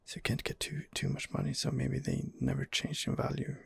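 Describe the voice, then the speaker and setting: A man's voice: medium pitch, completely flat delivery, fairly quick, creaky, a bit bright, husky, no echo. A man murmuring hesitantly as he hosts an ASMR gaming role-play, thinking aloud close to the microphone. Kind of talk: whispered ASMR role-play gameplay narration.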